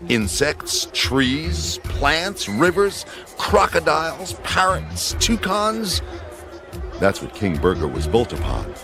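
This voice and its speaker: A man narrating a radio drama as a wry storyteller, with deliberate, theatrical delivery. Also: deep voice, full character voice. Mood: building wonder.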